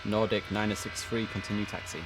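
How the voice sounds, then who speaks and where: A man with a fairly low voice doing livestream game commentary. He drifts between casual chat to himself and clipped controller instructions, with clear game ambience and noise behind him. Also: flat delivery, fairly quick. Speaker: streamer playing an air traffic controller. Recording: clear background noise under the voice.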